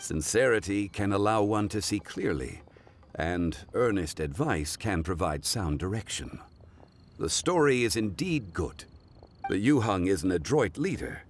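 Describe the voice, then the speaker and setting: An actor voicing a composed, erudite gentleman in a video game, speaking in a formal, measured and thoughtful manner. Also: fairly low pitch, expressive, slight background noise. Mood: sage, approving. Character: composed, erudite, gentlemanly man of old-fashioned wisdom.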